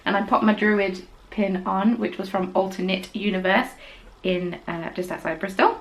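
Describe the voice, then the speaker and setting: A woman's voice: medium pitch, steady tone, normal speed. Chatty woman hosting a podcast. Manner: chatty and rambling, with quiet whispered asides. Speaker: podcast host. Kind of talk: casual chat about knitting.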